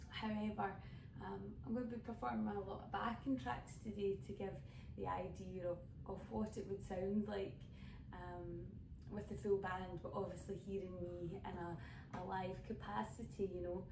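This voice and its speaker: A woman's voice: mid-range voice, little pitch movement, normal speed, vocal fry. A woman with a Scottish accent from the west coast of Scotland, a folk singer chatting casually and rambling a little as she introduces her songs for an online showcase. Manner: chatty, rambling storyteller with many fillers. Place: home room streaming online. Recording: roomy, distant mic with background noise.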